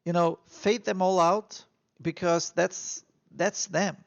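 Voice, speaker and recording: A man's voice: high-pitched, steady tone, slow pace, creaky. A man from Austria with an Austrian accent, giving earnest, encouraging advice in a vlog monologue, repeating himself with frequent fillers. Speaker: immigration vlogger. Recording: dull lo-fi recording.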